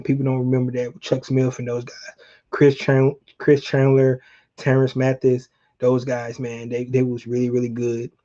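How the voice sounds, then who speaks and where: Mellow man, dull lo-fi stream. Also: medium pitch. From Atlanta, Georgia, United States. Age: middle-aged. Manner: conversational, addresses viewers directly, colloquial. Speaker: sports livestream host. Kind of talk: casual fan chat, reminiscing about sports.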